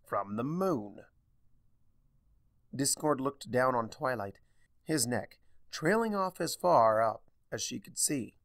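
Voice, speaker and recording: A man narrating a fan fiction story in an expressive storytelling style. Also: medium pitch, unhurried, dry recording, studio quality.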